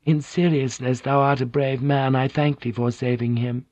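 A man voicing a composed, courteous Japanese noblewoman who translates for others, soft-spoken and polite. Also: medium pitch, fairly even intonation, normal speed, no room sound, pitched above the performer's own voice, lightly acted. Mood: hushed. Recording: dull, dry lo-fi recording.

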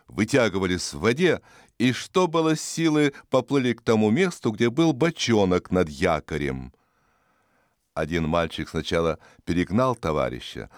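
A man reads a story as an audiobook narrator, in an expressive, suspenseful storytelling manner. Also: on the low side, no echo, studio quality. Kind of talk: dramatic scripted story narration. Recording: music behind the voice. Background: music.

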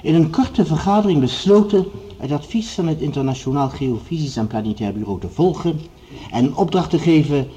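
An actor playing a commanding project leader, speaking formally and with measured authority in a radio drama. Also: male voice, a little high, animated, mellow, natural voice, poor audio. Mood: formal, authoritative. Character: commanding male project leader addressing assembled scientists. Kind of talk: radio drama acting, formal speech-making.

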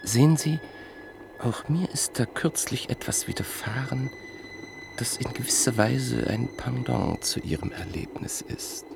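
A man telling a story in a radio drama, slow and measured, often dropping to a near whisper. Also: medium pitch, animated, creaky, noisy background. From Vienna, Austria. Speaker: narrator in a radio play. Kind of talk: 1950s radio drama acting.

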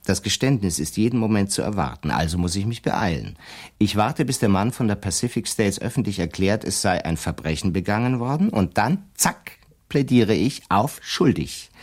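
An actor playing a shrewd, self-assured defence lawyer in a radio play, explaining his schemes deliberately and slyly. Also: fairly low pitch, darkish tone. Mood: triumphant, scheming. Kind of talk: German radio drama dialogue.

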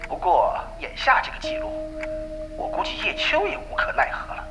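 An actor playing a nervous, fawning male underling in an audio drama, hurriedly agreeing and flattering his boss. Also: sits high, moderate pace, over loud music. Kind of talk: Chinese audio drama acting.

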